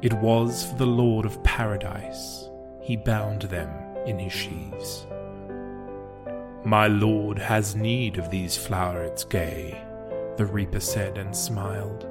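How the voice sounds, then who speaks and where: A man recites poetry in a low voice. His delivery is very slow, solemn and deliberate. Loud music plays behind him throughout. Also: steady tone. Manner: measured, deliberate. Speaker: poetry podcast reader.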